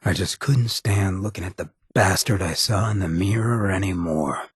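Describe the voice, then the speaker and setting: A swaggering male ghoul companion from a video game. His American voice is shredded and gravelly, sitting fairly low, in a close, dry recording. He talks in a folksy, streetwise drawl, sardonic yet loyal underneath. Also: moderate pace, no echo. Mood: regretful. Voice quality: very raspy, gravelly.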